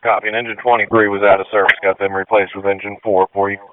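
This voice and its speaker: A man's voice: lowish voice, level tone, fast talker. A man working as an emergency dispatcher, speaking over two-way radio. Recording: phone-like, noisy two-way radio with clicks.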